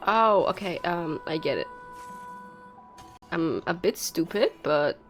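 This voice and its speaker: A woman's voice: on the low side, lively intonation, slow, low-quality recording. A woman streaming a video game and giving casual live commentary. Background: game music.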